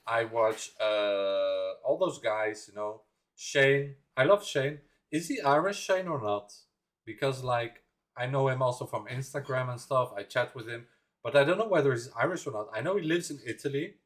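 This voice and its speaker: A man's voice: mid-range voice, lively intonation, normal speed. Chatty Dutch man rambling on a livestream. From Netherlands. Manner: rambling, chatty, laughs often, reads chat aloud. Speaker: livestream reaction host. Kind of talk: casual livestream chat.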